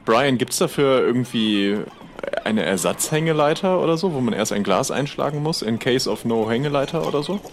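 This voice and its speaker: A man with a markedly creaky, mid-pitched voice plays along in an improvised pirate adventure. He is colloquial and a little hesitant, with faint noise behind him. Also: lively intonation, moderate pace. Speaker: player in an improvised pirate role-play podcast. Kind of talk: casual in-character improvised dialogue.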